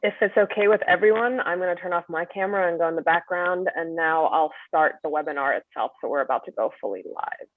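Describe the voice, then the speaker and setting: A woman organizing a webinar's technical setup in a brisk, organized way before it goes live. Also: on the low side, no echo. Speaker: webinar producer. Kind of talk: casual logistics chat. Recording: tinny phone-like call audio.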